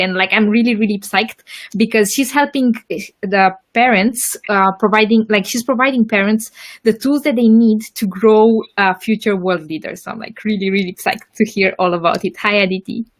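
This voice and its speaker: A woman's voice: medium pitch, normal speed. A young woman hosting a casual interview podcast, bubbly, laughing often and filling her speech with "like". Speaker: podcast host.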